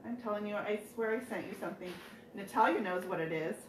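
A chatty woman in her thirties hosts a live painting demo, joking with viewers and laughing easily. Her voice sits at an ordinary middle pitch. It carries some room echo, since she is a little away from the mic. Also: fast talker, background noise. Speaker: furniture painting host. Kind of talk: casual live demo chat. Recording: echoey room, mic at a distance.

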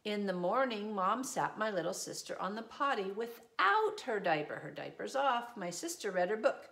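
A middle-aged woman reading a children's storybook aloud, adding gentle, reassuring asides in simple phrases for young children. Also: mid-range voice, big pitch swings, normal speed, vocal fry. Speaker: preschool teacher reading a picture book aloud. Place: small indoor room with desk and shelves.

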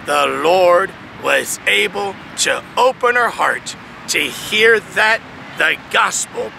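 A man's voice: high voice, lively intonation, slow, gravelly, bright, forceful tone. A man in his thirties preaching an emphatic sermon in an open field, reading scripture aloud and rising and falling for emphasis. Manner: emphatic, preaching with swells and drops, reads verses aloud. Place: large open field outdoors. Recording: outdoor traffic noise behind. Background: distant traffic noise.

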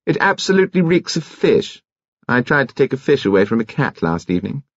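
A man's voice: mid-range voice, sing-song, fairly quick, dry recording, dull audio, pitched above the performer's own voice. A British man voicing a tweedy, brusque, defiant upper-class woman in an audiobook, offhand in manner. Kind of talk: audiobook character acting.

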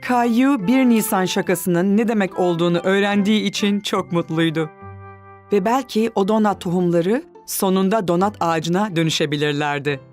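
A woman narrating a children's cartoon as a gentle storybook narrator, telling the story clearly. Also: medium pitch, moderate pace, soft background music, close to an everyday voice. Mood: gentle storytelling.

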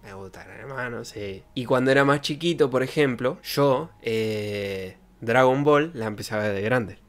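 A man's voice: mid-range voice, normal speed. A young man with an Argentine accent, a streamer rambling in casual, jokey slang close to a desk microphone. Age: young adult. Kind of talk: casual livestream chat. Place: small bedroom streaming setup. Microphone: desk microphone with pop filter, close.

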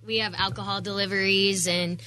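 Woman guest chatting on radio, pretty monotone, bright edge to her voice. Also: medium pitch, moderate pace. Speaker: interview guest, event venue staff. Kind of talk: casual interview chat. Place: radio studio. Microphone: studio microphone.

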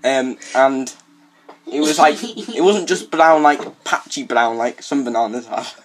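A man's voice: mid-range voice, rough recording. Hesitant young British man, faint noise. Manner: hesitant, halting. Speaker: prank caller. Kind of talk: casual prank phone call.

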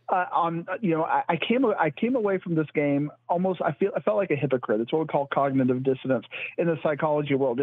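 Higher-pitched man, dry sports talk. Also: dry recording, phone-quality sound. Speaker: sports show co-host and analyst. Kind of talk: casual sports discussion.

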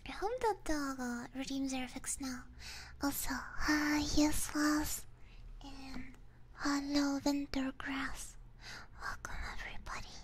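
Whispering, high-voiced woman hosting ASMR. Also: takes it slowly, a little hiss or hum, rough recording. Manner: soft-spoken, mostly whispering. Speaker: live stream host. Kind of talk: whispered ASMR, casual chat. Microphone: close binaural ASMR microphone.

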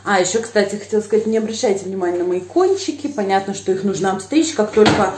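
A woman's voice: mid-range voice, expressive, fast, darkish tone, echoey room, slight background noise. A woman in her thirties chatting to her viewers in a casual home vlog, filmed on a phone held at arm's length. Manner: chatty, addresses viewers directly. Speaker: vlogger. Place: small room indoors at night.

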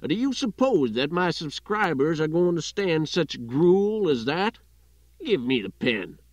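Irascible male newspaper editor, acted by a man, raised pitch, loud and blunt, storybook character, slightly dull recording. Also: sing-song, a little slow, dry recording. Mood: outraged. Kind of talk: audiobook character voice.

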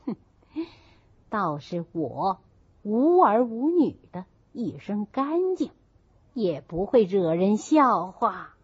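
A woman's voice: mid-range voice, big pitch swings, slow, husky, no echo, exaggerated voice. A woman voicing a stern, haughty stepmother in an audiobook, reproachful and sarcastic. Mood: sneering, reproachful. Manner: reproachful, sneering. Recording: muffled, dull audio.

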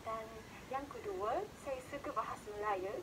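A woman's voice: fairly high pitch, moderate pace. A young woman from Japan, answering interview questions outdoors in careful, hesitant second-language speech full of "ah" fillers. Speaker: interviewed student. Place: outdoors in a park. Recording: outdoor clip with clear background noise.

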